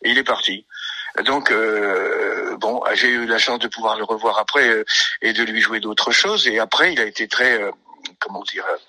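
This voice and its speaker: An older man from Italy, a pianist, reminiscing in a radio interview, halting and searching for words with many fillers. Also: on the low side, bright, forceful tone, no echo, poor audio.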